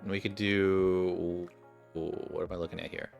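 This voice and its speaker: A man's voice: low voice, little pitch movement, normal speed, creaky, muffled recording, soft background music. A man giving slangy, offhand commentary as a gaming streamer. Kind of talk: casual gameplay commentary.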